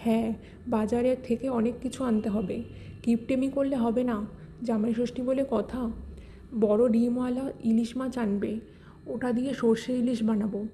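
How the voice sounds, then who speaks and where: Cartoon grandmother played by a woman: older, fond, insistent, mellow, faint noise behind. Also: on the high side, fairly even intonation, normal speed, natural voice. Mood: bossy, eager. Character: doting, bossy village grandmother planning a family feast. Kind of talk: Bengali cartoon fairy-tale acting.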